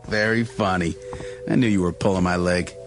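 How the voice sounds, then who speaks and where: An actor playing an easygoing, wisecracking American car-lot assistant in a radio drama, with a casual, folksy way of talking. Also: male voice, low-pitched, fairly even intonation, moderate pace, faint music behind. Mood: teasing, puzzled.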